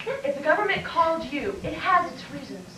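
Heard from across a noisy classroom, an actress plays an officious army sergeant in a stage comedy. Her high-pitched voice is curt, stern and bureaucratic. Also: big pitch swings, fairly quick. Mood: stern, commanding. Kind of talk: amateur stage comedy acting. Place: classroom used as a small stage. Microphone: far camera microphone. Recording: distant, echoey, noisy VHS room recording.

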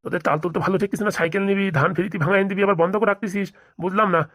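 Female voice actor as a grumbling, distrustful old grandfather in a comedy cartoon; quick, low, dry. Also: steady tone, a bit bright, no room sound, natural voice. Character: grumpy suspicious old village grandfather. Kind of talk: comic cartoon voice acting.

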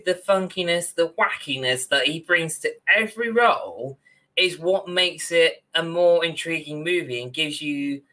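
Young male podcast co-host, bright and forward tone, casual discussion on a video call. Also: on the high side. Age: young adult. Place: indoor room on a video call.

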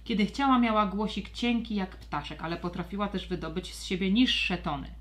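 A woman narrating a scripted children's audio story with expressive, storybook delivery. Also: mid-range voice, a little fast.

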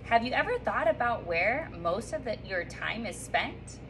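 A woman's voice: a little high, moderate pace, a little hiss or hum, poor audio. Upbeat woman teacher reading aloud to kids. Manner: upbeat and sing-song, reading with lively rises and slips. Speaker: third grade teacher. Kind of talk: welcome message and picture book read-aloud to children. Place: classroom reading corner, indoors. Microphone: phone or camera on a stand a few feet away.

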